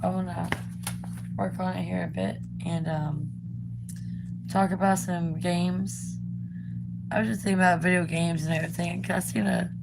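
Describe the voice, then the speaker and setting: A young woman, still raspy from being sick, chatting casually while she draws, rambling with lots of ums and likes. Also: on the low side, steady tone, moderate pace, long pauses, very noisy. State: raspy from recent illness. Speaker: art vlogger. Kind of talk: casual chat while drawing.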